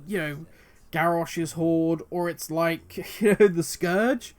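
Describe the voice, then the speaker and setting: A man hosting his own video, talking passionately and at length about game lore, his voice going hoarse. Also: a little high, steady tone, takes it slowly. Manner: passionate, rambling, musing aloud. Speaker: video host. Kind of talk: solo commentary on game lore.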